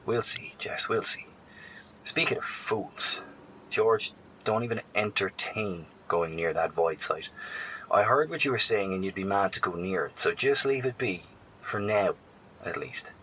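An actor playing a weary, wry professor out in a wasteland in a horror audio drama, earnest, self-deprecating and halting. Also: male voice, fairly low pitch, lively intonation, a bit bright, a little hiss or hum, low-quality recording. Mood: serious.

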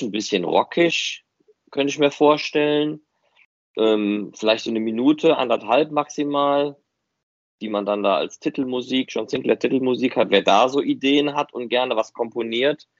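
A man's voice: mid-range voice, normal speed, no room sound. A man chatting casually and unscripted as host of a fan podcast. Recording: muffled, dry, band-limited audio.

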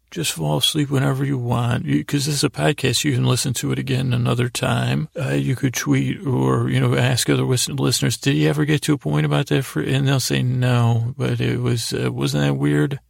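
A man hosting a bedtime podcast in a gravelly voice, meandering and halting as he rambles in a lighthearted, whimsical way. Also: medium pitch, flat delivery, no echo, studio quality. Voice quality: creaky, gravelly. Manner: meandering, hesitant, halting, trailing off. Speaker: podcast host. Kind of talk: rambling bedtime monologue to help listeners sleep.

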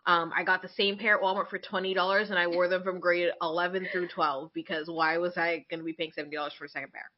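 A woman's voice: fairly low pitch, little pitch movement, quick pace, hardly any pauses, lo-fi sound. A woman co-hosting a casual chat show, talking in a candid, sarcastic way with casual swearing. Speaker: podcast co-host.

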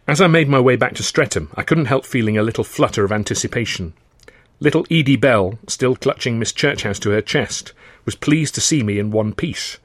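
An English man narrates a first-person novel in a medium-pitched voice. The reading is expressive storytelling, captured in a very dry, close space. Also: dry recording.

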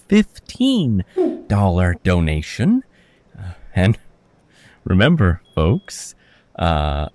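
A man's voice: mid-range voice, a little mellow, no room sound. A man hosting a radio fundraiser with a showy, chuckling manner, thanking donors.

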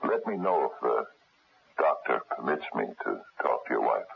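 A gruff, methodical private investigator in a 1970s radio mystery. His voice is extremely low and full of gravel, with a husky edge. He probes in a businesslike, halting manner on a dull, lo-fi recording. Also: big pitch swings, music in the background, exaggerated voice. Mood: businesslike, probing. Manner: hesitant, probing, businesslike. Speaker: radio drama actor.

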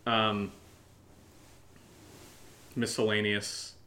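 A man's voice: on the low side, flat delivery, extremely slow, bright, forceful tone, roomy sound. A man co-hosting a show with casual, joking banter, thinking aloud in a rambling, hesitant way. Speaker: show co-host.

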